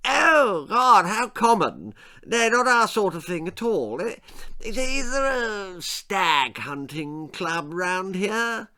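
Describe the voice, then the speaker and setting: Shrill aristocratic English lady done by a man, snobbish, piercing, very high, swooping. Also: sing-song, slow, heavily acted. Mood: haughty, shrieking. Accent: upper-class English. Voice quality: loud, piercing, screeching. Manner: haughty, gushing. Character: snobbish, shrill upper-class lady hunter.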